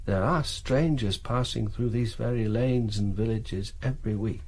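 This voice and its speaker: An actor playing a shrewd, eager German intelligence colonel in a wartime radio drama, with a measured, authoritative delivery. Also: male voice, fairly low pitch, darkish tone, breathy edge. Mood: confident, explaining.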